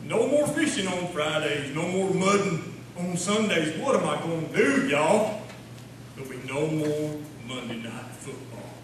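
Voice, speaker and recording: A man's voice: fairly high pitch, expressive, measured pace. Mournful country poet played by a man, plaintive folksy recital, distant and very echoey with noise. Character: mournful redneck small-town poet reciting his verse. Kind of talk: comic poetry recital. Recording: echoey hall from far off, noisy.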